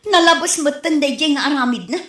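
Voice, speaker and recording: A woman playing a scolding, exasperated wife and mother in an Ilocano Filipino comedy audio drama, in an animated, nagging way. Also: sits high, a little fast, reverberant, over music. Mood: indignant, gossiping.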